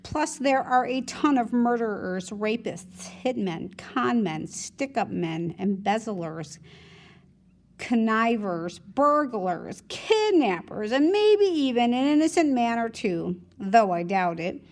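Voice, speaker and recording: Casting: female teacher, slightly high voice, expressive read-aloud storytelling, conversational delivery. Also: takes it slowly. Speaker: teacher reading a novel aloud to students.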